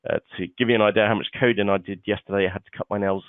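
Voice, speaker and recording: A man's voice: fairly low pitch, steady tone, racing, dry recording. A man hosting an online seminar, chatty and casual, often saying "okay" between points. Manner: chatty, peppers talk with "okay" and "uh". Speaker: webinar host. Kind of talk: live online seminar walkthrough. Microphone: web conference microphone. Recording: tinny phone-like web call audio.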